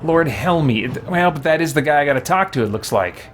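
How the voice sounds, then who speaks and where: A man's voice: on the high side, animated, brisk, a little hiss or hum. A man streaming a game, chatting casually and musing aloud as he plays. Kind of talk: casual live gameplay commentary. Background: game music.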